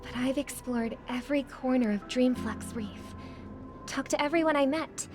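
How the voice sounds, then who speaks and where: An actress playing a kind, graceful young singer in a video game, speaking politely and with composed sincerity. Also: female voice, high voice, moderate pace, natural voice. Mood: modest, kind. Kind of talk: video game cutscene acting. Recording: loud music under the voice.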